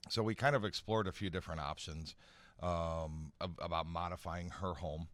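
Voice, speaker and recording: A man speaking informally as an expert guest in a radio interview, explaining haltingly, repeating phrases and often checking for agreement. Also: sits low, fairly even intonation, fairly bright tone, no room sound. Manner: hesitant explaining, repeats phrases, seeks agreement with "right?" and "you know". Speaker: guest expert on home accessibility. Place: radio studio.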